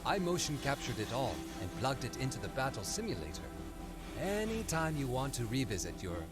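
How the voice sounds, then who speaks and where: A man's voice: mid-range voice, sing-song, brisk, loud music behind the voice, natural voice. A man playing a helpful, dry tech-minded ally in a video game cutscene, with a hesitant, teasing delivery. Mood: wry, reassuring.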